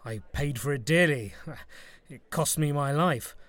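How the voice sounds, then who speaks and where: An actor playing a mild, earnest human resources officer in an audio comedy. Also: male voice, on the high side, a little slow, a bit bright, no room sound. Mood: earnest, dry. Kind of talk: audio drama comedy acting.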